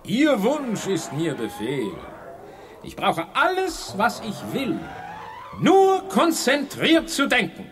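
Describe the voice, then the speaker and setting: Wildly swooping, high-voiced mad genius villain. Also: male voice, wildly swooping pitch, slow, noisy background, exaggerated voice. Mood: gloating, menacing. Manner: mock-courteous, theatrical, gloating. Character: gloating, mad male genius villain with a pistol. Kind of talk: 1980s German children's sci-fi radio drama acting.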